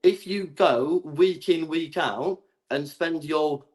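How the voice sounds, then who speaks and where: A man's voice: a little high, slow. A man from England arguing his side in a heated debate, stammering and repeating his words. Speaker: guest.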